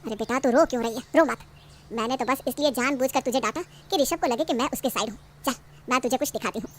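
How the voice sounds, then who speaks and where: A woman's voice: high-pitched, fast, slight background noise, lightly acted. A woman playing a shrewd mother-in-law. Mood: soothing. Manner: firm, scolding then comforting. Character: shrewd, caring middle-aged mother-in-law.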